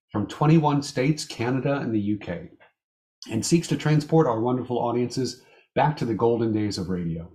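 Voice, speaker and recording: A man announcing a vintage-style radio show, reading a scripted introduction in a measured, theatrical way. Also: mid-range voice, a little mellow.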